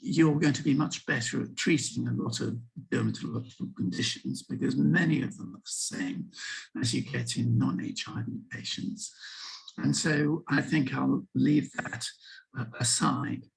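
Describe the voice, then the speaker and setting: A man in his sixties with a husky voice from a sore throat, giving a measured online medical lecture over a video call as a visiting HIV physician. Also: mid-range voice, fairly even intonation, low-quality recording. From England. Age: 60s. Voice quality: gravelly, breathy. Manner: measured, explanatory, with frequent ums. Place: home room with empty shelves and packing boxes.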